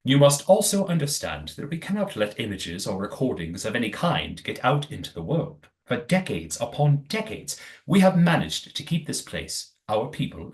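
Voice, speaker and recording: A man's voice: medium pitch, sing-song, normal speed, few pauses, natural voice. A man playing a courteous master of a secretive old manor in an audio play, with formal, precise wording. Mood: formal, firm.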